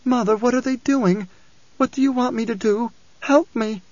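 Storybook young woman voiced by a man: high, lilting, little-girl pleading, slightly dull recording. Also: normal speed, darkish tone, no room sound. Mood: frightened, pleading.

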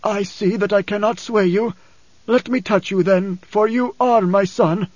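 An anguished older blind father as acted by a male audiobook narrator speaks in a raised, husky, trembling voice. He is a solemn desert prophet, weary and formal. The recording is a muffled, echoey cassette. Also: high voice, steady tone, measured pace, darkish tone, pitched above the performer's own voice. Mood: resigned. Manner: solemn, dramatic, formal speech. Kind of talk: audiobook character acting. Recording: dull cassette audiobook, roomy echo.

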